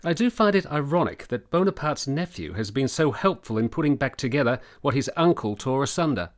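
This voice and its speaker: An actor playing a genteel, good-humoured doctor in a period radio drama, with a theatrical, musing manner. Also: male voice, mid-range voice, lively intonation, a little fast, natural voice.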